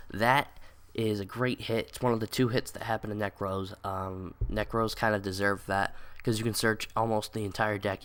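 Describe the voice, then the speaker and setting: A young man with a stuffy nose from a cold, giving rambling casual commentary with lots of "like" and "um". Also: deep voice. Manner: rambling, many "like" and "um" fillers. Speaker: card game video creator.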